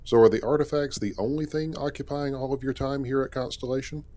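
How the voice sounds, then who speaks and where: A man's voice: mid-range voice, fairly even intonation, a little fast, dark tone, natural voice. A man playing an easygoing, curious spaceship captain in a sci-fi game story, talking casually and thinking aloud. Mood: friendly. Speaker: actor voicing a game character in a fan story. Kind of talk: conversational character acting. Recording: clearly noisy background.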